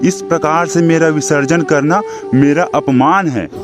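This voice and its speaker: A man's voice: a little high, unhurried, over music, natural voice. A man voicing an offended, stern god who scolds and declaims reproachfully in a cartoon moral story. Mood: admonishing.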